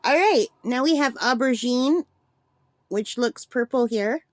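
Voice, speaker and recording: A woman with a slightly gravelly voice chatting and gushing as she swatches paints in a casual demo at her craft table. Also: fairly high pitch, a little slow, no echo, studio quality. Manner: chatty and gushing, thinks aloud. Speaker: art supply reviewer.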